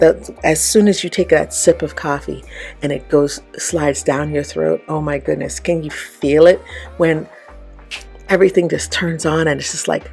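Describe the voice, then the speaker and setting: A woman with a raspy voice giving casual motivational advice to her viewers, often checking in with "okay?" and "right?". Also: low-pitched, darkish tone. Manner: chatty, frequent "okay?" and "right?" check-ins. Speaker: vlogger and life coach. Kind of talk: casual motivational talk to camera.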